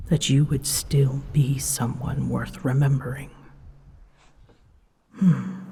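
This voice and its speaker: A woman performs a proud dragon sovereign in an audio roleplay, scolding with theatrical, imperious weight. The delivery is very measured and slow, often dropping into hushed breathiness. The voice rings through a long hall reverb with slight noise behind it. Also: a bass voice, exaggerated voice. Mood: probing, reproachful. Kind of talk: scripted audio roleplay, ASMR drama.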